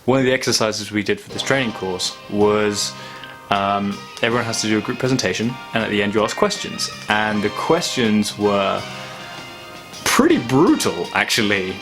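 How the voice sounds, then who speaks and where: Young man, low voice, reflective vlog chat, halting thoughtful delivery, clear background guitar music. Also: animated, a bit bright. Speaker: vlogger. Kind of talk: casual reflective vlog monologue.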